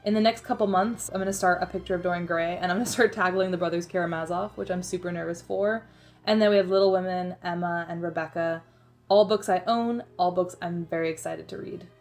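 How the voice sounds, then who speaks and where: A woman's voice: lowish voice, fairly even intonation, a little fast, a little mellow, soft background music. Chatty young woman narrating a vlog. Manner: chatty, self-deprecating, frequent "like" fillers. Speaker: book vlogger. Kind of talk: casual voice-over narration.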